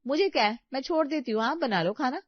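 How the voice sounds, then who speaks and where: A woman's voice: sits high, animated, fast talker, no room sound, lo-fi sound, voice pushed up. A woman voicing a thrifty, exasperated housewife who complains and bargains emphatically in a comedy story. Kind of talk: animated comedy story dialogue.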